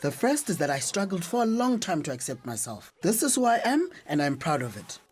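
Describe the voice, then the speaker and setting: A determined, proud teacher in a radio drama, speaking earnestly. The woman's voice is deep and husky, and it rises and falls expressively. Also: very animated, a little fast, darkish tone. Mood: firm, proud. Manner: earnest, firm.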